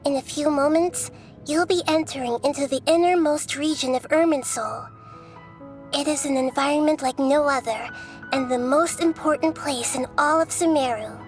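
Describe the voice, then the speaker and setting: A woman voicing a young girl deity in a video game, wise and calm, with a soft, measured way of speaking. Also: high-pitched, faint music behind, lightly acted. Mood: solemn.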